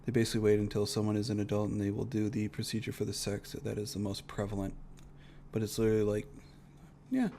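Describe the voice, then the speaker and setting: A man's voice: lowish voice, completely flat delivery, fast talker, mellow, a little hiss or hum. A man doing casual reaction commentary as a streamer, talking bluntly and swearing often. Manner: blunt, profane ranting with frequent swearing.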